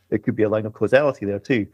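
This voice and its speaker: A man guest on a podcast, calmly explaining music streaming economics and recapping his points step by step. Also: medium pitch, husky, no room sound. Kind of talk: podcast discussion.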